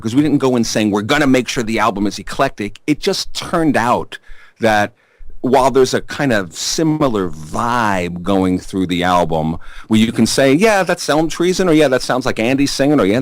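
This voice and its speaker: A man with a New York accent and a deep baritone voice, answering interview questions in a rambling, chatty way full of fillers and restarts. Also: expressive, fairly quick, no echo. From Staten Island, New York. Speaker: bass player in a rock band.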